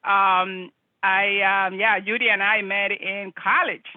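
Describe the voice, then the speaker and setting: A woman's voice: medium pitch, fairly even intonation, slow, dry recording. This is a middle-aged woman from the Dominican Republic, joining a live stream as a guest. She talks in a chatty, easygoing way, often restarting her sentences and breaking into laughter. Her voice comes through a thin, phone-like video call line with no room sound. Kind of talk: casual live-stream interview. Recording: tinny phone-like call audio.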